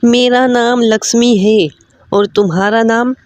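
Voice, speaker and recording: Cartoon moral story: gentle, lovestruck landlord's daughter, young woman played by a narrator, pitched up, unhurried, very dry sound. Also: steady tone, no echo, close to an everyday voice. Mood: friendly.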